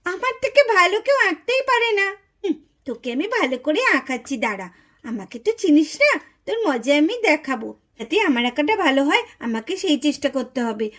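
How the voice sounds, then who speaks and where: A woman puts on a spiteful little girl doll for a puppet cartoon. The voice is very high and childish, full of boasting and jealous, muttered plotting. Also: sing-song, fairly quick, fairly bright tone. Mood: spiteful, scheming.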